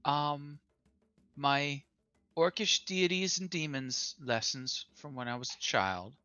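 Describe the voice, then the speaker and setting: A player in a tabletop game, talking slowly and hesitantly with playful jokes. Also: medium pitch, sharp tone, smooth, no echo, highs cut off, soft background music. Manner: slow, joking, hesitant. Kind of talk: casual tabletop game chat.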